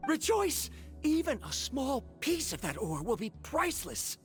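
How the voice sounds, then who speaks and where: A woman playing a proud, excitable scholar in a video game, with formal, theatrical phrasing. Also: sits high, measured pace, over music. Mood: elated. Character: eager, self-assured scholar of ores, proud and excitable. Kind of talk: English video game voice acting.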